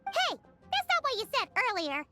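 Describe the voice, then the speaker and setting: A tiny fairy girl for an anime-style game. The voice is extremely high and swoops about, chirpy and exclamatory, with music playing behind it. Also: wildly swooping pitch, moderate pace, exaggerated voice. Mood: indignant. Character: tiny excitable floating fairy girl companion. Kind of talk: animated game voice acting.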